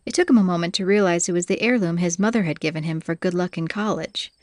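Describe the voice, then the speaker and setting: A woman's voice: lowish voice, fast talker, a little mellow, no echo. A woman reading scripted fantasy audiobook narration as the storyteller.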